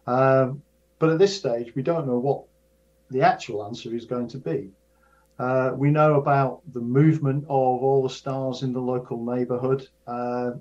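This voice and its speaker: An older man, a professor of astrophysics, explaining research in a measured way, often restarting and repeating his words. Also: medium pitch, warm tone. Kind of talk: casual explanatory interview. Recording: dull lo-fi sound.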